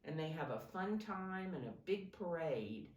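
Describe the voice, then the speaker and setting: A woman's voice: low-pitched, animated, a little slow, a little mellow. A woman reading a picture book aloud to young children like a teacher, explaining simply and prompting her listeners. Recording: roomy, distant microphone, faint background noise.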